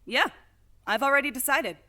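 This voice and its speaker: A woman's voice: sits high, normal speed, sharp tone. A woman playing a brash, protective young friend who talks bluntly and crudely. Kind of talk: audio drama acting.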